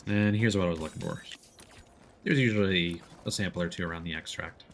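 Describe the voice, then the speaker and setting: A man with a fairly low voice talks through a video game for his stream. He speaks casually and a bit hesitantly, working things out aloud, with game noise clearly audible behind him. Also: brisk. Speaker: livestreamer, gamer. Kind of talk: casual gameplay commentary. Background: video game sounds.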